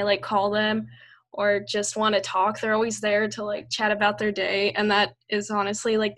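A teenage girl from Peru as a guest in a casual podcast chat, speaking relaxed with fillers. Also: mid-range voice. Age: teens.

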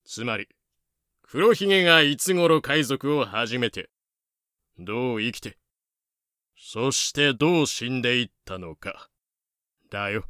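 A man playing a blunt, self-assured questioner with a measured, commanding manner in a fan-made voice drama. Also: medium pitch, expressive, pushed and bright, dry recording, studio quality. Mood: dry, insistent. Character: blunt, self-assured man demanding orderly pirate tales.

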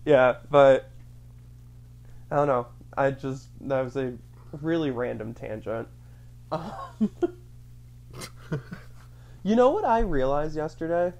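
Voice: medium pitch, animated, slow pace, long pauses, a little mellow. A speaker chatting casually and telling a rambling story, full of fillers and acted-out inner quotes. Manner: rambling, filler-heavy, acts out own thoughts in quotes.

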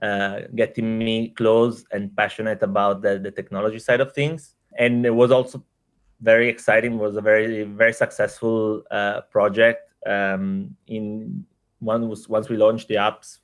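A man with an Italian accent speaks at a somewhat low pitch. He pauses often, filling gaps with "uh" and restarting his phrases as he tells his story in a relaxed interview. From Italy. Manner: hesitant, frequent fillers and repeated words. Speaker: startup executive guest. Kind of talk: casual interview conversation.

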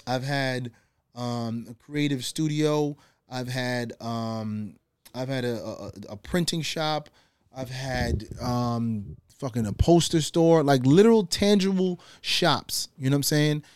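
A man, a podcast guest talking in a loose, slangy, animated way. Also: medium pitch, slow, smooth, no room sound. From Guyana. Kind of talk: casual conversation.

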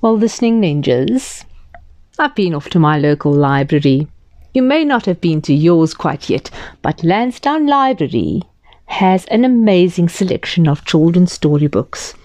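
A woman's voice: fairly low pitch, big pitch swings, a little slow, darkish tone, no echo, clean studio sound. A woman reading a children's picture book aloud, chatting to her young listeners and dropping into whispered asides. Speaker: children's storyteller.

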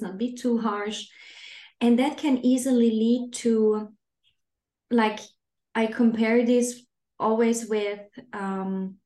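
A woman's voice: mid-range voice, darkish tone, roomy sound. A woman in her thirties, originally from Austria, speaking as a parenting coach guest on a podcast and explaining her ideas thoughtfully. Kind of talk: podcast conversation.

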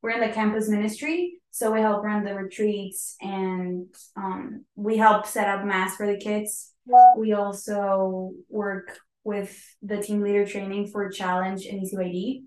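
Young woman from Mexico, even, flat voice. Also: mid-range voice, a little slow. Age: young adult. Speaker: lay missionary. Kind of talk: casual chat. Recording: echoey room.

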